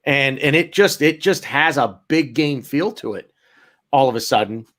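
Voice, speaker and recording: A man's voice: medium pitch, normal speed, a bit bright. A man hosting a football podcast in casual chat, playful and teasing, asking long questions. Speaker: podcast host.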